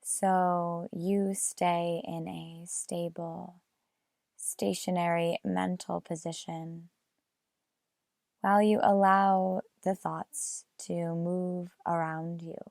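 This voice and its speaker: Female meditation guide, very unhurried with long pauses, plenty of vocal fry. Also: on the low side, flat delivery, a little mellow, dry recording, clean studio sound. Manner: measured, with long pauses between phrases.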